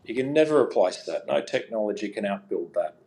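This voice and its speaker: A man's voice: lowish voice, animated, fairly quick, darkish tone. A man with an Australian accent, from New South Wales, speaking as a guest in a radio interview, earnest and full of fillers and restarts. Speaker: guest, regional banking alliance spokesman.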